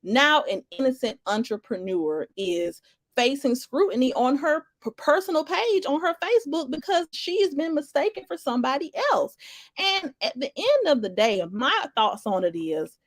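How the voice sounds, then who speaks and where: A woman's voice: high-pitched, very animated, normal speed, no echo, clean studio sound. Chatty woman streaming live. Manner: rambling, frequent restarts and fillers, emphatic. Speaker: livestream commentator. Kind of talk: casual live commentary, greeting viewers in chat.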